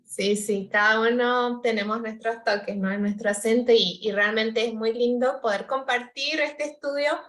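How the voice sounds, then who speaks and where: A woman from Paraguay hosting a youth Bible study, slow and thoughtful. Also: on the high side, fairly bright tone, reverberant. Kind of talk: relaxed Bible study discussion.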